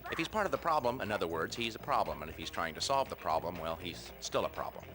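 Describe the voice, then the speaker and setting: Game parody pundit: pompous chicken academic, low, animated intonation, over music. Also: male voice. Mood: pedantic, smug. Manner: measured, pedantic, self-important. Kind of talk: parody TV expert interview.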